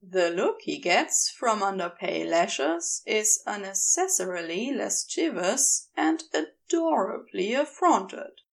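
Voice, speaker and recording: A woman reading a piece of fiction in a measured, deliberate, intimate storytelling style. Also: medium pitch, big pitch swings, vocal fry. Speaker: amateur audiobook narrator.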